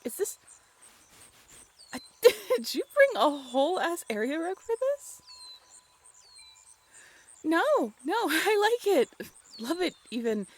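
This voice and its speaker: A woman voice actor playing a playful, teasing young woman in an intimate, conversational roleplay audio drama, expressive and a little halting. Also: very high voice, heavily acted. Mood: delighted, touched.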